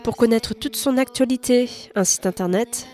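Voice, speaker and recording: A woman radio interviewer asking brief, measured questions and closing the segment. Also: on the high side, warm tone, faint music behind.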